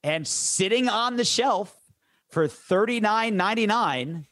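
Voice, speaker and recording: A man's voice: high voice, lively intonation, measured pace, fairly bright tone, no echo. An American man from the Detroit suburbs, a sports announcer telling stories casually in a joking, self-deprecating way. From suburban Detroit, Michigan, United States. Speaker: sports play-by-play announcer, guest. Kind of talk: casual storytelling chat.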